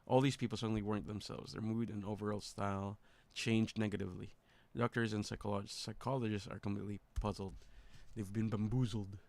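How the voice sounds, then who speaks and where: Low-voiced man casually reacting on a stream. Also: flat delivery, brisk, darkish tone. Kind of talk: casual reaction commentary, reading on-screen text aloud.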